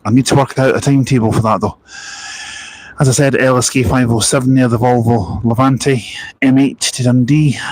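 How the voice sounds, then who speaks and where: A man's voice: mid-range voice, a little mellow, poor audio. A young man chatting casually on a live stream at a bus park and ride, rambling between stories, spotting passing buses and reading out viewer comments.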